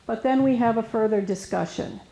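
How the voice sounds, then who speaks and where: A soft-spoken woman with a gravelly, mellow voice presents to a room as a resource specialist. She talks hesitantly, full of fillers and restarts, and the room microphone catches a roomy echo. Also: fairly high pitch, fairly quick. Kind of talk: informal presentation to an audience. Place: meeting room with an audience. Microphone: room microphone recorded for camera.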